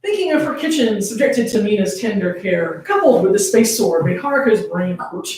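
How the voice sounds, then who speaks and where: A woman's voice: mid-range voice, lively intonation, normal speed, darkish tone. A playful, easily amused woman co-hosting a fan fiction reading, shifting between dramatic narration and casual chat. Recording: very echoey room, distant from mic.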